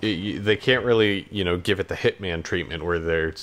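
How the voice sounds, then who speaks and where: A man's voice: low-pitched, fairly quick, fairly bright tone, slight background noise. A man co-hosting a podcast in casual chat, speaking in a hesitant, rambling, hedging way. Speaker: podcast co-host.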